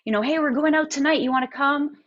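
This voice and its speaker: Guest, female, 30s, faintly raspy voice, lively storyteller, dull lo-fi audio. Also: high voice. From Canada and the United States. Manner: animated storytelling with quoted voices and halting restarts. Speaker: interview guest, singer-songwriter. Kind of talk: candid interview conversation.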